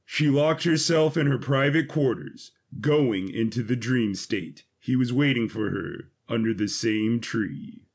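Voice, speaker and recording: A man with a husky voice giving a scripted, dramatic narration of an adventure story. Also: mid-range voice, a little slow, few pauses.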